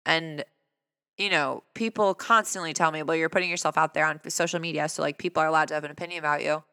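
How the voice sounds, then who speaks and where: A man speaking earnestly and conversationally in a personal reflective talk, his voice fairly high for a man, often asking rhetorical questions. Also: fairly even intonation, fast talker, pushed and bright, clean tone, no echo, clean studio sound, in a large hall. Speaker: vlogger.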